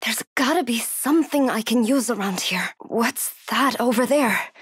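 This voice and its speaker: A woman's voice: fairly high pitch, moderate pace, fairly bright tone, dry recording. An actress playing a frightened young woman survivor in a horror video game, urgent and pleading. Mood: wary, curious. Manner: breathy urgent self-talk, pleading.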